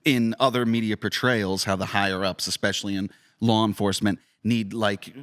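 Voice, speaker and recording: A man with a somewhat low voice co-hosts a podcast as its director. He speaks informally, in the manner of a casual chat among colleagues. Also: steady tone, moderate pace, a bit bright. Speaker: director and co-host.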